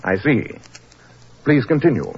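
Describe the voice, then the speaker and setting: An actor playing an incisive, dryly superior gentleman detective in a 1940s radio drama, a man with an upper-class English accent and clipped, precise speech. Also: medium pitch, big pitch swings, a little slow, creaky, breathy edge, exaggerated voice. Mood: cool, inquiring. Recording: muffled, noisy 1940s radio recording.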